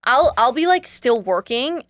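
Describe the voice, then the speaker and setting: A woman's voice: sits high, sing-song, a little slow, a bit bright, no echo. A woman co-hosting a podcast in casual chat, a bit anxious and full of "like" fillers. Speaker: podcast co-host.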